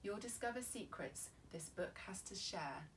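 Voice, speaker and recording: A woman reading a children's story in an expressive, measured and dramatic way. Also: mid-range voice, slight background noise.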